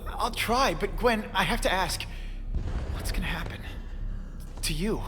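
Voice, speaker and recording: An actor playing a young, wisecracking, protective American superhero in a video game, with loud music behind him. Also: male voice, high voice, unhurried. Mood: earnest concern. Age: young adult.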